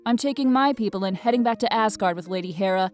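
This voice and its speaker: A woman's voice: medium pitch, fast, darkish tone, soft background music, natural voice. A woman playing a feisty, blunt young goddess in an audio drama, with a brash, emphatic delivery. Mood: curt, impatient.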